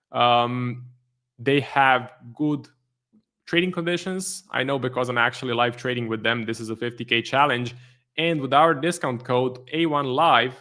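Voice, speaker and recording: A man from Croatia who speaks English with a Croatian accent. He hosts a live trading stream in a relaxed way, reading out viewer questions and answering them as casual commentary. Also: mid-range voice. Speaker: trading livestream host.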